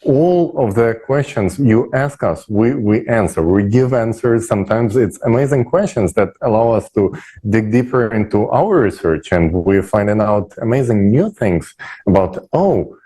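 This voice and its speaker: A man on a discussion panel making an informal, halting appeal to viewers. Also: fairly low pitch, expressive, mellow, breathy edge, poor audio. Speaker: panel speaker.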